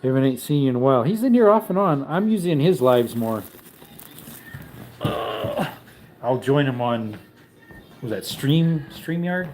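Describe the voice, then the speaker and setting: A man's voice: lively intonation, a little slow, long pauses, a little mellow, faint music behind. A man in his thirties hosting a casual livestream from his home man cave, rambling and reading out viewer comments. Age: 30s. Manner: high baby-talk when calling his dog. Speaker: livestream host.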